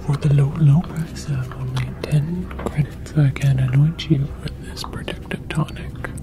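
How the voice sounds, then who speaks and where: A woman voicing a soothing alien psychic fortune teller in a gentle, breathy whisper for an ASMR roleplay. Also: rumbling low, fairly even intonation, full character voice. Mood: gentle, reassuring. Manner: slow, gentle, reassuring. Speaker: ASMR performer.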